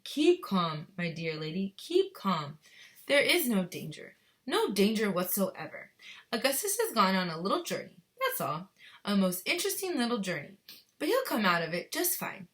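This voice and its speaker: A woman voicing an eccentric, excitable gentleman with an emphatic, theatrical manner in a children's storybook read-aloud. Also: mid-range voice, moderate pace. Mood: soothing, insistent. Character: eccentric, excitable gentleman reassuring with grand words.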